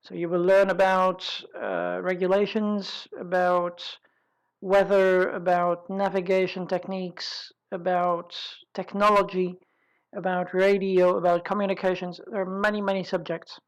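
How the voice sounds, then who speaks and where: A woman's voice: on the low side, level tone, a little slow, lo-fi sound. Woman presenting an explanatory webinar. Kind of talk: informal explanatory webinar talk.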